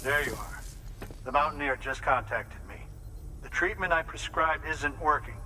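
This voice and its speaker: An actor playing a calm, precise doctor who explains medical procedures and reassures patients in a game cutscene. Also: male voice, fairly high pitch, slight background noise, rough recording. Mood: explaining. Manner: measured, explanatory, slightly fussy. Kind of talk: video game cutscene acting. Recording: score music in the background.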